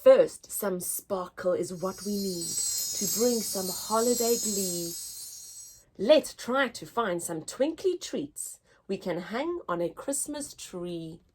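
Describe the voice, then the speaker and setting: A woman voicing a small, excitable, cheerful male Christmas elf for a children's storybook, in an exclamatory, teaching manner. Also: mid-range voice, slow, noisy background, voice pushed down. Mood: eager, instructive.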